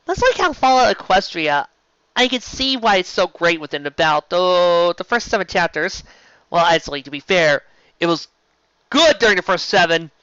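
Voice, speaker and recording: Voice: medium pitch, big pitch swings, moderate pace, a bit bright, smooth, no room sound, highs cut off. A show host giving a casual, rambling fan review in a gushing, emphatic way, often tripping over words and restarting. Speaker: host of a fan fiction live-read show.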